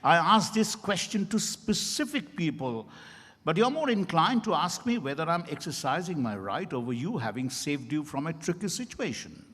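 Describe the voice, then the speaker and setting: Casting: man playing an insistent, polite doctor of law, theatrical, lively pitch swings, recorded in a big echoing hall. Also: husky, exaggerated voice. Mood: probing, courteous. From India. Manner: deliberate, questioning, theatrical. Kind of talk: dramatized stage reading. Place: event hall at a hotel. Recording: echoey hall with long reverb.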